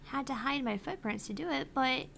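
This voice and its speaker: A woman's voice: high-pitched, lively intonation, a little fast, gravelly, fairly bright tone, faint music behind, heavily acted. A woman reading the lines of a cheerful female AI phone assistant aloud, earnest and a little pleading. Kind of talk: reading game dialogue aloud.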